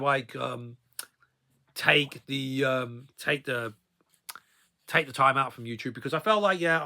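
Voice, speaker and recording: A man's voice: a little high, sharp tone. A man in his thirties telling personal stories in a casual, rambling vlog style, often tacking filler phrases onto the ends of his sentences. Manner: rambling, repeatedly tagging sentences with "though, really, as well". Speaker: video blogger.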